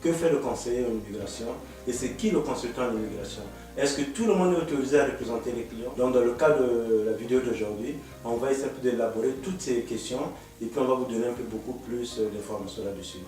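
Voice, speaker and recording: A man hosting a studio panel talk on immigration, asking questions in a measured way with hesitant fillers. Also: medium pitch, mellow, soft background music. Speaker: host, immigration consultant. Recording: echoey room, mic far off.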